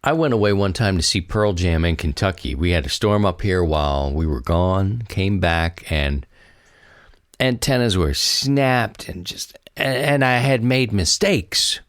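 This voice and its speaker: A man's voice: low-pitched, expressive, a little slow, no echo, clean studio sound. A man with an American accent hosting a podcast, chatting casually and rambling in a folksy way to his listeners. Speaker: podcast host. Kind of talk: casual solo chat to listeners.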